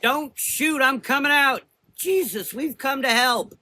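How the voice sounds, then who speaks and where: Man playing a proud, high-pitched defiant warrior woman. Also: measured pace, sharp tone, a little hiss or hum, heavily acted. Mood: alarmed. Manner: earnest, defiant. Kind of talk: gothic horror audio drama.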